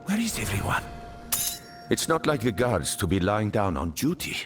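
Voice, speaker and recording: A man's voice: on the low side, sing-song, normal speed, over music. An actor playing a self-serving desert rogue in a video game, with wheedling, theatrical, salesman-like patter. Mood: theatrical, urgent. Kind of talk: video game character acting.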